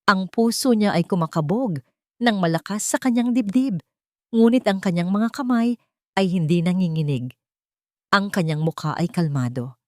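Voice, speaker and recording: A woman with a Filipino accent reading a dramatic story in a measured, suspenseful storytelling manner. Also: mid-range voice, a little mellow, dry recording, clean studio sound. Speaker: audio drama narrator. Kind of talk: dramatic scripted story narration.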